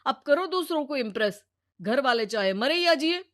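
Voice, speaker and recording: A woman's voice: high voice, animated, normal speed, a bit bright, dry recording, studio quality, voice pushed up. Lady doing an annoyed young husband for a cartoon, complaining, sarcastic. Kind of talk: Hindi moral-story cartoon acting.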